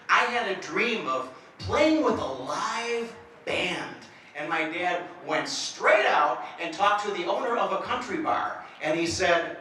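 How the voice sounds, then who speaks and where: A man's voice: sits high, pushed and bright, a little hiss or hum. A middle-aged man giving a comedic stage talk, with a slight stutter and self-mocking jokes. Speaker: motivational speaker. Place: presentation hall. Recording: echoey hall, mic from far off.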